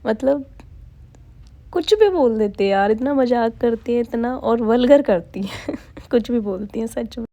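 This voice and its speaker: A woman with a fairly high voice hosts a casual daily vlog. She is chatty and addresses her audience directly, like friends and family. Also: unhurried, mellow, dull audio. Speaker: vlogger filming her daily home routine.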